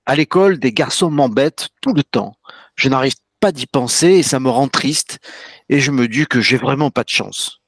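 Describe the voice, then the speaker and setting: A man's voice: medium pitch, moderate pace, dry recording, lightly acted. A man voicing a sad, bewildered little schoolboy in a children's tale, hesitant and plaintive. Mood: sad, sighing. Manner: hesitant, plaintive, stammering in wonder.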